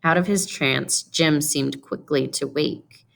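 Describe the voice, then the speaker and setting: Low-pitched, creaky-voiced woman narrating. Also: slow pace, fairly bright tone. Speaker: story reader. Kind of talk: expressive scripted storybook narration.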